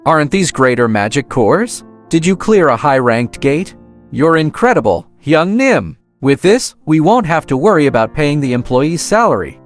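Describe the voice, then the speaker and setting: An actor playing a loyal, earnest young male sidekick, eager and expressive, in an anime-style dub. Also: fairly high pitch, moderate pace, soft background music. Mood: admiring.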